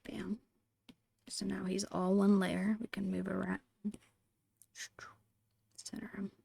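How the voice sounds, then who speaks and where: A woman softly narrating her digital art in an ASMR style, murmuring in short, breathy phrases close to the microphone. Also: medium pitch, little pitch movement, takes it slowly. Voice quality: breathy, whispery. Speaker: digital artist hosting an art channel.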